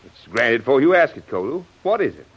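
An actor playing a breezy, tough, good-humored squad leader in old radio drama style. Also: male voice, medium pitch, sing-song, fairly quick, husky. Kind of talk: 1940s radio acting. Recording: dull old radio recording.

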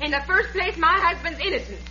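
Feisty, very high-voiced frontier wife. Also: female voice, lively intonation, a little fast, bright, forceful tone, muffled recording, heavily acted. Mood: indignant, defiant. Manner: defiant, folksy. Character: feisty, indignant frontier wife defending her husband. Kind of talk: old-time radio western acting. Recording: noisy, band-limited old radio broadcast.

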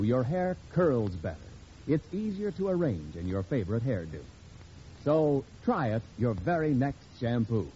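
Male radio pitchman, soft-toned, very animated, emphatic, scripted, slightly noisy 1940s broadcast. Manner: polished, emphatic. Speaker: radio announcer. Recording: muffled, slightly noisy old radio recording.

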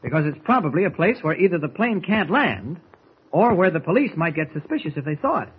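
Shrewd, confident reporter hero, fairly high voice with some husk, vintage radio drama, thin band-limited sound. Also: male voice, big pitch swings, fairly quick, husky, muffled recording, full character voice. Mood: calm, reasoning. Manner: confident, reasoning, mentor-like. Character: calm, shrewd adult male reporter hero. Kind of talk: 1940s radio serial acting.

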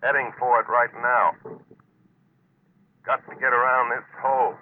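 An actor playing a cool, laconic deep-sea diver in an old American radio drama, dry and matter-of-fact with an American accent. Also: male voice, flat delivery, unhurried, close to an everyday voice. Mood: focused. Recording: noisy, narrow-band old radio recording.

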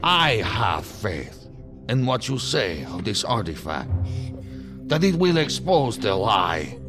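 A man playing a deep-voiced devoted follower. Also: very animated, takes it slowly. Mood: anxious. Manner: earnest, questioning. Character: devout, anxious male follower. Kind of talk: audio drama acting. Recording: music underneath.

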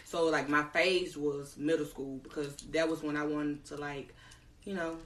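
A woman's voice: deep voice, flat delivery, normal speed, recorded from a distance, slight background noise. A woman guest on a casual podcast, laid-back and drawling as she tells her story. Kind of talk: casual chat.

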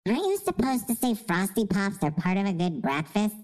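A woman playing an excitable, sassy, sugar-crazed little girl who rambles, in a comedic audio sketch. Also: mid-range voice, a little fast, lightly acted. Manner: rambling, outbursts.

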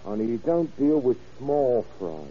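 An actor playing a shady underworld tipster in 1930s radio crime drama, slangy, furtive and insistent. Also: male voice, medium pitch, expressive, unhurried, slightly rough. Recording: muffled, lo-fi old radio recording.

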